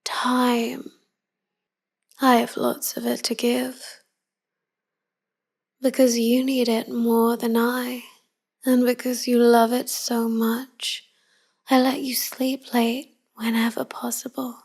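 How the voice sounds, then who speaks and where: A woman voicing a tender, melancholy immortal vampire wife in soft, breathy half-whispers, murmuring endearments in an intimate bedtime audio roleplay. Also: on the high side, little pitch movement, heavily acted. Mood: fond tenderness. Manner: slow, gentle, murmured endearments. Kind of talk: intimate pillow-talk ASMR roleplay.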